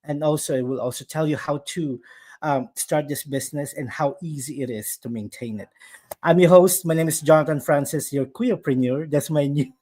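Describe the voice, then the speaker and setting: A bubbly Filipino man hosts a casual live chat from his upstairs room at home, laughing often and mixing Tagalog phrases into his English. His voice sits a little higher than most men's. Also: normal speed, mellow. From Philippines. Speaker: host.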